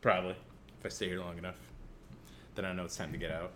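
A man's voice: low-pitched, level tone, fast, very noisy. A young man with a Central Illinois accent co-hosting a casual podcast, joking and laughing often. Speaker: podcast co-host.

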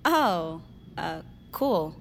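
A woman's voice: sits low, sing-song, extremely slow, vocal fry, a bit bright, slight background noise, heavily acted. A woman playing a confident, snippy teenage girl in a fiction podcast, casual and a little bossy. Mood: puzzled, polite. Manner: casual teen talk, bossy with friend.

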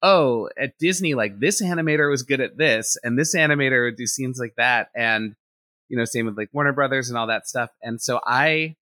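A man, an animator and director, talking in a relaxed interview and thinking out loud with lots of "like" fillers. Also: mid-range voice, brisk, no room sound, clean studio sound. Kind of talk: casual interview conversation.

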